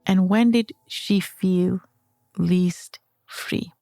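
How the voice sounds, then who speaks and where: A woman's voice: lowish voice, fairly even intonation, very slow, gravelly, mellow, no room sound, studio quality, soft background music. A woman hosting a podcast, reading a scripted introduction in an inviting way. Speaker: podcast host.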